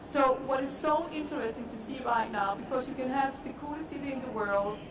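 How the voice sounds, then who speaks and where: A woman's voice: high voice, a little fast. A woman with a Danish accent, speaking earnestly and with emphasis as a panelist at a conference. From Denmark. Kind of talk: panel discussion. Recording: echoey hall, distant mic, noisy.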